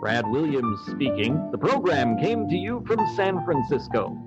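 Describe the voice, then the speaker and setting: A man's voice: a little high, sing-song, unhurried, highs cut off, loud music behind the voice. A man playing a polished, upbeat network announcer in 1940s old-time radio style. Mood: upbeat, formal.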